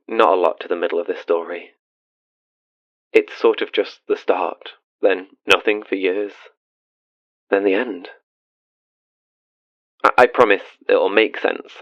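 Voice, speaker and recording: Very deep-voiced, haunted, hesitant caller. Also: male voice, dry recording, dull audio. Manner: hesitant, self-deprecating, earnest. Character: haunted, awkward, confessional British-born man phoning a radio show. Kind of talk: audio drama monologue as a radio call-in.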